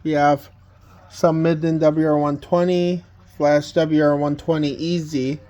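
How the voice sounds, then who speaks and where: Male hobbyist vlogger, lightly raised pitch, very unhurried and halting, plain-spoken casual walk-through at home. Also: little pitch movement, dark tone, lo-fi sound. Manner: halting, plain-spoken, pausing mid-sentence. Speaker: hobbyist vlogger showing a collection. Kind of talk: casual unscripted home video tour. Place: indoors at home, walking between rooms.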